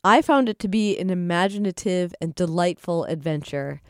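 A woman podcast host reads a prepared introduction. Her voice sits on the low side, her delivery is measured, and the sound is dry and close. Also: smooth, no echo.

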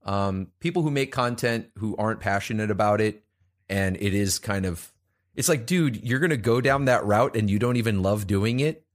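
A man's voice: on the low side, normal speed. A man in his thirties co-hosting a podcast, talking casually and rambling with frequent restarts and fillers. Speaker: podcast co-host.

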